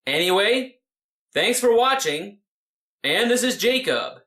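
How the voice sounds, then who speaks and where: Higher-voiced man in an echoey room. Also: expressive, slow, bright, forceful tone. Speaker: channel host. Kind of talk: video sign-off with credits. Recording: roomy, echoing sound.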